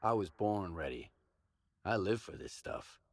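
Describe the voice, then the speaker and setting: A man's voice: low-pitched, lively intonation, a little slow. An actor playing a tough, unflappable male mercenary hero in a video game, laconic, dry and self-assured.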